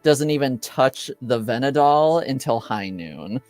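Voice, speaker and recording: A man improvising the narration of a tabletop game as game master, halting and searching for words. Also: medium pitch, lively intonation, a little mellow, dry recording.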